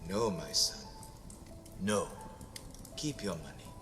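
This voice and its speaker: A man voicing a kindly, devout old priest who speaks in a gentle, measured, welcoming way. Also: low voice, animated, in a large hall, faint music behind, exaggerated voice. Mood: gentle, reassuring.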